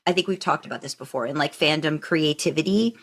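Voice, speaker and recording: A woman's voice: low-pitched, quick pace. A woman hosting a podcast in a casual, conversational way, effusive and chatty with rambling asides. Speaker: podcast host. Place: remote video call.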